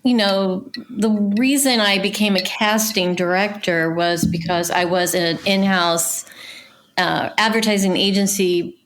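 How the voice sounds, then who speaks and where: A woman's voice: mid-range voice, a little slow, a bit bright. A middle-aged woman chatting casually as an interview guest on a podcast. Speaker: podcast guest, casting director.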